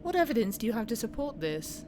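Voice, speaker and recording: A woman's voice: fairly high pitch, big pitch swings. Woman acting formal female officer, noisy recording. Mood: businesslike, probing. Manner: official, measured interrogation. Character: formal, businesslike female review board officer. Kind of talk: scripted audio roleplay interview.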